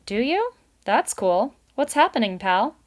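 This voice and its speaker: Casual storybook beaver female reader, raised pitch, breezy, familiar, a bit cheeky. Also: sing-song, a little slow. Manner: breezy, slangy, chummy.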